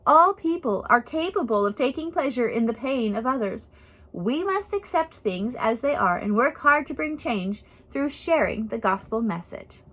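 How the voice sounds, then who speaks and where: A woman voicing a gruff, calm missionary woman in her seventies in a storybook, lecturing matter-of-factly. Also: fairly high pitch, rough recording. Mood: firm, lecturing. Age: 70s. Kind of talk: storybook character reading.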